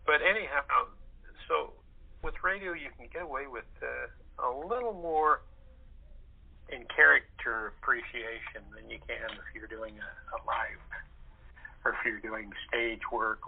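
A man chatting as an interview guest, halting as he searches for words. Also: medium pitch, creaky, slightly rough. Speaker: radio play actor, guest. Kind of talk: casual interview chat. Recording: tinny narrow phone line.

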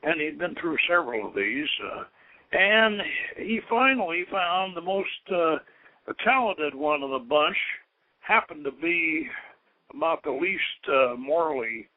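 A man hosting a radio show, explaining things informally in a halting, rambling, scholarly storyteller's way over a tinny, narrow phone-like line. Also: on the high side, lively intonation. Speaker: host of an occult radio show.

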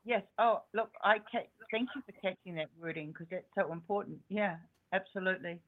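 A woman's voice: mid-range voice, a little fast. A woman calling into a show, chatting eagerly in long run-on bursts. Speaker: caller. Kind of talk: casual chat. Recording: tinny phone line.

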